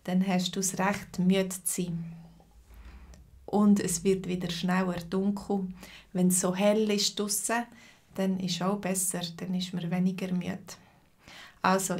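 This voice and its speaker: Slow, clear Swiss German teacher, a woman. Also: medium pitch. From Switzerland. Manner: slow, clear speech with many fillers, greeting viewers by name. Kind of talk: live stream lesson, chatting with viewers.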